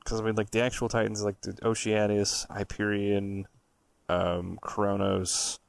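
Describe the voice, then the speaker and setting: A man's voice: lowish voice, little pitch movement, unhurried, gravelly. A man co-hosting a podcast, chatting and telling stories casually in a blunt, sweary, emphatic way. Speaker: podcast co-host. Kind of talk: casual banter and storytelling.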